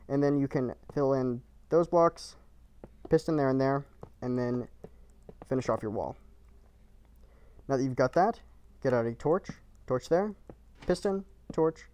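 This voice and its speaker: Man calmly giving clipped build instructions. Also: medium pitch. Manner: terse, clipped instructions, calm and matter-of-fact. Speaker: game tutorial creator. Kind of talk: step-by-step build instructions over gameplay.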